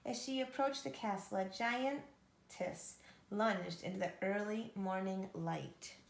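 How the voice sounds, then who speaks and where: Woman, children's story narration, expressive, some vocal fry, echoey room. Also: mid-range voice, slow pace, slight background noise. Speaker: storybook reader.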